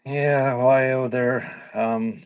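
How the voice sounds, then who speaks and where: A man's voice: mid-range voice, fairly even intonation, drawn-out words, smooth. A man hosting a live online auction, chatting casually in a laid-back, conversational way as he presents items. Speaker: live auction seller and host.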